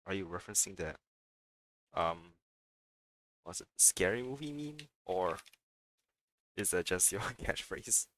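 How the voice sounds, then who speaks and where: A man's voice: sits low, measured pace, long pauses, no room sound, rough recording. A young man chatting casually and animatedly as he livestreams a video game. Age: young adult. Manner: animated, chatty, reacting to gameplay. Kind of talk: casual livestream chat while playing. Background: video game music.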